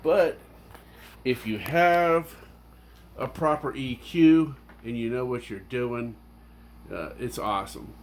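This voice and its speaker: A man's voice: mid-range voice, slow pace, a little hiss or hum. A man giving a casual, unscripted, rambling and technical review of his audio gear with enthusiasm.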